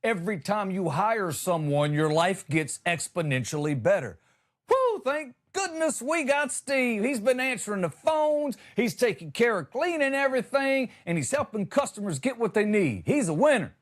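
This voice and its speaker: A man's voice: high-pitched, very animated, normal speed. A man hosting a comedy show, delivering a conversational monologue with exasperated, emphatic punchlines.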